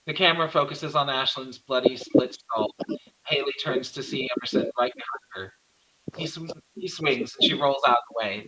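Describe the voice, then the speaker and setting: Bright-voiced man reading stage directions. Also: medium pitch, poor audio. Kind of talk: scripted narration at a virtual table read.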